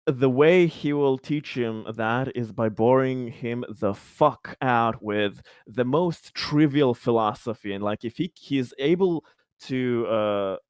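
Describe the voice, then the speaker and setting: A man's voice: medium pitch, slow, smooth, no room sound. A man chatting casually as a player in a tabletop roleplaying game, wordy and jokey, swearing freely.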